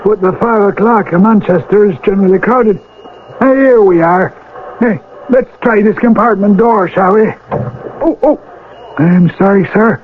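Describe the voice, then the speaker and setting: An actor playing an eager, fussy English railway guard in a radio drama, busy and obliging. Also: male voice, sits high, a little slow. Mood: obliging, bustling. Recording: dull, noisy old radio drama recording.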